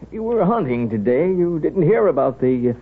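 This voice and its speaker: A folksy small-town doctor in a 1930s radio drama, kindly and wry. His voice creaks and rasps, swinging widely in pitch. The recording is thin and tinny. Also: big pitch swings, full character voice. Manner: folksy, wry, unhurried, hesitant drawn-out asides.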